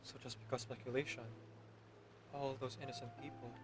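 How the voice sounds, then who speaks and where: A woman's voice: extremely low pitch, flat delivery, brisk. A woman game streamer giving casual live reactions to a story as she plays. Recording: loud game music behind.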